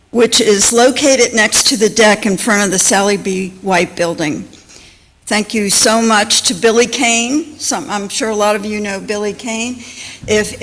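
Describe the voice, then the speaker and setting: A woman giving informal community announcements to a congregation, with a halting delivery full of pauses. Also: medium pitch, fairly bright tone. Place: church sanctuary. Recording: reverberant hall.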